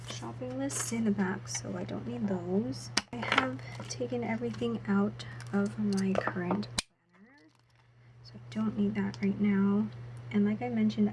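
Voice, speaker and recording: Soft-spoken woman with a slightly sore throat. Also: mid-range voice, heavy background noise. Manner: soft, half-whispered narration of each step. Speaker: planner vlogger. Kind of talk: casual voice-over while setting up a planner.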